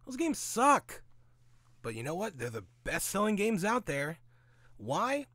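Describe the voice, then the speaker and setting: A man's voice: sits high, wildly swooping pitch, slow pace, bright, forceful tone, no room sound. A man giving casual, opinionated commentary in a blunt, sweary, sarcastic way, often asking and answering his own questions. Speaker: host of a gaming commentary audio log.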